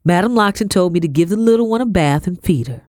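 A bossy middle-aged housekeeper who barely stops for breath, as a woman reads her in a storybook. She talks high and loud with rough country grammar, and the recording is dry and close. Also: animated, dry recording, close to an everyday voice. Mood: brusque. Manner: brisk, scolding, rustic grammar. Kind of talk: audiobook character voice.

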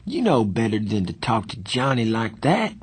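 A man voicing a tough, protective older teenage boy in an audiobook, talking slangily and forcefully. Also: on the low side, sing-song, moderate pace, breathy edge. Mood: fierce.

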